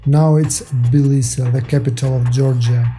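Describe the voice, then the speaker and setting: Man narrating in a flat, even voice. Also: mid-range voice, a little hiss or hum. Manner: measured, even reading of facts and figures. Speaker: documentary narrator. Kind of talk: scripted documentary narration.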